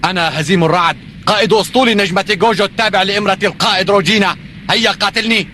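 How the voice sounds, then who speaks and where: A man's voice: high voice, racing, noisy background, close to an everyday voice. A man voicing a bold young hero who commands a space fleet in a dubbed cartoon. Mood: defiant. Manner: bold, defiant. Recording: dialogue over loud music.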